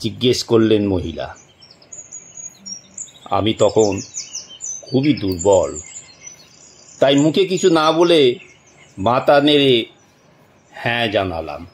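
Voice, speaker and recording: A man narrating a suspense story from a script in a dramatic, deliberate way, with long pauses. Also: lowish voice, sing-song, slight background noise.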